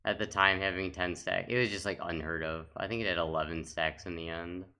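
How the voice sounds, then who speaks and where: Low-pitched guy chatting casually for a gaming video, bright clear sound, kinda rambly. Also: fairly even intonation, brisk. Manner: rambling, reminiscing. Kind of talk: casual off-the-cuff commentary.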